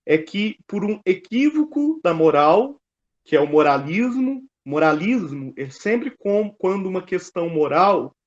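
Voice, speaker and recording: A male Brazilian priest speaks as a guest in a conversational, explanatory style. His voice is fairly high for a man, and the audio is somewhat dull and muffled. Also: big pitch swings, unhurried.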